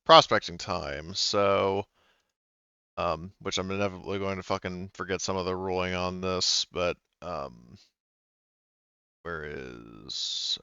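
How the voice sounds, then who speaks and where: A man with a very low, smooth, resonant voice runs a tabletop game, rambling through his notes with plenty of fillers and restarts. The sound is close and dry over a home computer mic on a voice call. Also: pushed and bright, no echo, muffled recording. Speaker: game master.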